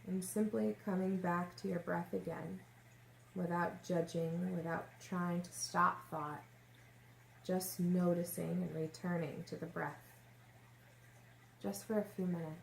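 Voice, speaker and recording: A woman leading a guided meditation as a yoga nidra teacher, giving gentle, unhurried instructions with long pauses. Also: lowish voice, steady tone, gravelly. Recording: roomy echo, faint background noise.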